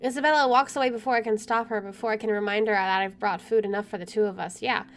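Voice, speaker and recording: A woman's voice: medium pitch, steady tone, quick pace. A woman streaming a game, reading visual novel narration aloud and adding casual asides. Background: soft music.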